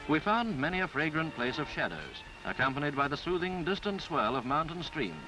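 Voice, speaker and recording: A man reading a scripted 1950s newsreel travelogue in a formal, florid, measured way. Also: fairly high pitch, sing-song, rough recording. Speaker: newsreel narrator. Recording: 1950s film soundtrack with background music. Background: music.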